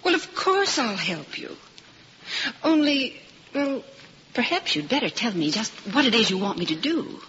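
Bright, animated motherly radio heroine. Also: on the high side, unhurried. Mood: reassuring. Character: plucky, motherly married woman, resourceful ally. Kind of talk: 1950s radio drama acting. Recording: hissy, muffled old radio recording.

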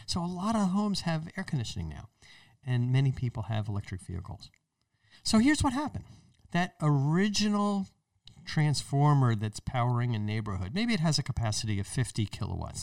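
An American man explains things in a conversational, radio-style talk. His mid-pitched voice swings widely for emphasis. He sounds a little distant from the microphone, in a dry space with no echo. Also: darkish tone. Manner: emphatic, conversational, acts out imagined remarks. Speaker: podcast host.

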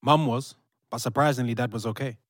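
A man's voice: mid-range voice, fairly even intonation, brisk, no room sound, studio quality, lightly acted. A young British man acting a hurt, angry fiancé torn by betrayal in a modern audio drama. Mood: upset, conflicted. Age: young adult.

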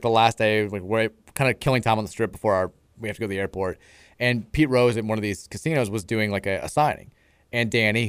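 A man's voice: fairly low pitch, smooth, no room sound. Rambling man on sports talk radio. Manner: rambling storyteller, lots of "like" fillers. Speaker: radio co-host. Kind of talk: casual sports radio chat.

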